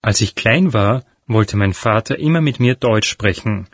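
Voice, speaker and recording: A young man reading a scripted part as a student in a listening exercise, calm and even. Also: on the low side, measured pace, breathy edge, dry recording, studio quality, exaggerated voice. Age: young adult. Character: young Dutch male student, 22.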